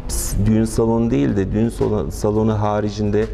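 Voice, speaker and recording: A man in his forties from Turkey talks about his wedding hall in a halting, earnest way, full of filler sounds. His voice is gravelly and sits somewhat low. Music plays clearly in the background. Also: level tone, warm tone. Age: 40s. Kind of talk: business interview. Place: decorated wedding hall, indoors.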